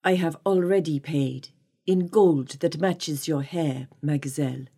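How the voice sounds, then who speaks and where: Woman voicing a low, commanding desert sheikh. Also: husky, exaggerated voice. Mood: calm, arrogant. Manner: calm, slow, imperious. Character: commanding, scornful desert sheikh, a menacing seductive man.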